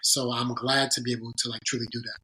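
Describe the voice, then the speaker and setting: A man's voice: medium pitch, level tone, bright, forceful tone, rough recording. A man being interviewed on a podcast about his career as a sports agent, speaking earnestly and thoughtfully, often restarting his sentences. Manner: earnest, halting, frequent restarts. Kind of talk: reflective interview storytelling.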